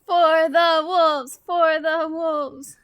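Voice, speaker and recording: An excitable woman reacts casually as a guest in a tabletop game. Her voice is very high and unhurried, recorded dry and close. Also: no room sound, muffled recording. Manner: excitable, gushing. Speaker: guest player.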